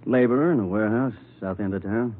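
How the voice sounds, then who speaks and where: Sour American ex-convict played by a man, lowish pitch, veiled menace under polite talk, dull vintage radio sound. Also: fast, natural voice. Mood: falsely contrite. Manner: insinuating, feigned friendliness. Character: sour ex-convict, smooth on top, bitter underneath. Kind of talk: 1950s radio crime drama acting. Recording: muffled old radio broadcast.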